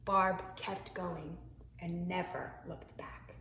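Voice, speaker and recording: A woman, a preschool teacher, reading a picture book aloud to young children in an expressive way, with hushed asides. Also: on the low side, slow pace, vocal fry, slight background noise. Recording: echoey room.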